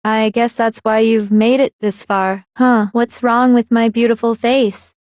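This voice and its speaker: A woman's voice: fairly high pitch, level tone, a little slow, a little mellow, no room sound, studio quality, close to an everyday voice. A woman voicing a smug, coldly analytical teenage girl villain in an anime video game, composed and condescending as she explains herself. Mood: playful, smug.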